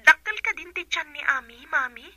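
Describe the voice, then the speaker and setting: A woman's voice: sits high, lively intonation, fairly quick, pushed and bright, dry recording, heavily acted. A young woman acting an anxious grown daughter on a call to her mother in an Ilocano radio drama. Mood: concerned.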